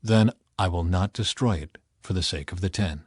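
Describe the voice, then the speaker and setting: A man voicing God as a grave, measured and merciful judge in a dramatized scripture audiobook. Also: low-pitched, a little mellow, breathy edge, no room sound, clean studio sound, pitched below the performer's own voice. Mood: calm, measured.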